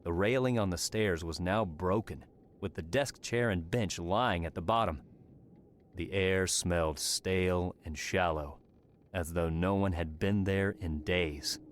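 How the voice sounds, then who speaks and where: A man's voice: low voice, measured pace. A man narrating a scripted fantasy story as an audiobook narrator. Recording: clearly noisy background.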